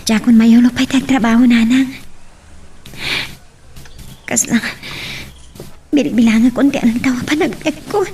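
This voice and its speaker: A woman's voice: on the high side, steady tone, normal speed, long pauses, warm tone, slight background noise, close to an everyday voice. A woman playing a heartbroken, worn-out young daughter in a melodramatic Ilocano audio drama. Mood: subdued. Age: young adult. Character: heartbroken, exhausted young daughter who has lost hope.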